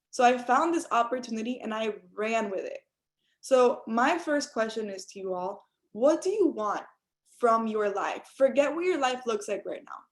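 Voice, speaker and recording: A young adult woman from Cuba giving an earnest motivational talk on a group call, speaking straight to her listeners. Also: on the high side. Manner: earnest, direct, addresses listeners as you all. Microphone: call audio.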